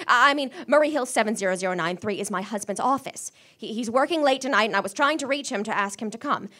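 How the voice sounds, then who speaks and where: A woman's voice: a little high, quick pace, pushed and bright. A woman playing a nervous, demanding, self-pitying invalid wife who stammers and fusses in an old-fashioned suspense radio play. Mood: anxious, flustered. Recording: live audio theater stage recording.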